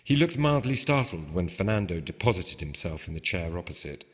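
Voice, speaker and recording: A man's voice: low voice, sing-song, a little fast, breathy edge. A man reading a novel aloud as its author, in a scripted literary narration style, recorded in a reverberant space. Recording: reverberant hall with long echo.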